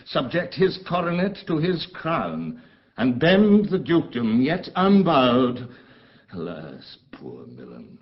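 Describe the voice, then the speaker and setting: A man's voice: mid-range voice, dull audio. An actor playing an embittered, commanding exiled duke and father in a theatrical, declamatory Shakespearean style. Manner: theatrical, grave, declamatory, with dramatic swells and hushes. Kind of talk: classical Shakespearean stage acting.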